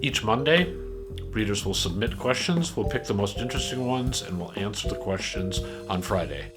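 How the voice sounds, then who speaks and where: A man reading a scripted promotional announcement, addressing viewers courteously and directly. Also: fairly low pitch. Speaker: magazine chief content officer, announcer. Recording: loud music under the voice. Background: loud music bed.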